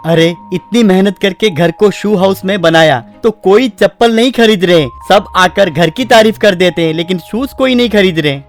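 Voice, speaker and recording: An actor playing a simple, plaintive village husband who sells slippers in a comic story. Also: male voice, high-pitched, normal speed, few pauses, soft background music. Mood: exasperated.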